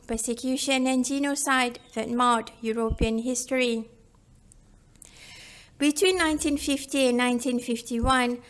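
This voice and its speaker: A woman reading a scripted book review as a narrator. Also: sits high.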